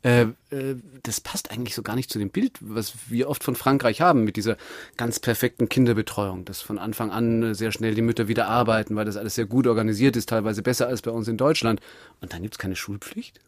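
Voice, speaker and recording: A man's voice: fairly low pitch, steady tone, brisk, no echo, clean studio sound. A man hosting a radio talk show, asking probing and playfully teasing questions. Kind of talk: radio interview. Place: radio studio.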